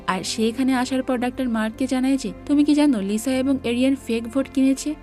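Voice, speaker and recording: A woman's voice: on the high side, warm tone. A woman narrating a scripted movie recap in a plain, explanatory storytelling manner.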